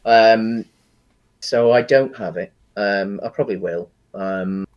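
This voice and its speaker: A man's voice: lowish voice, takes it slowly, highs cut off. A British man co-hosting a podcast, chatting casually and with light-hearted banter about games and films. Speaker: podcast co-host.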